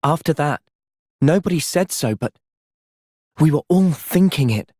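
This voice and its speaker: A man playing an earnest, gentle, resolute young soldier in video game cutscenes. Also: a little high, animated, a little slow, no echo, clean studio sound. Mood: thoughtful. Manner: earnest, thoughtful. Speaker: voice actor.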